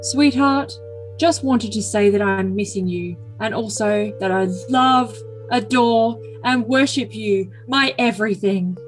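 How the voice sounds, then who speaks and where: A woman's voice: medium pitch, measured pace, lightly acted, poor audio. A woman voicing an earnest, besotted young man with a solemn, stilted manner in an overly dramatic comic message reading. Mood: doting. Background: music.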